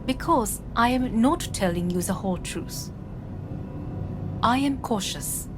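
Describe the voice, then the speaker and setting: A woman playing a composed, imperious corporate heiress in a video game, speaking formally and with measured command. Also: fairly high pitch, animated, background noise. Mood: cool, guarded. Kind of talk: dramatic video game voice acting.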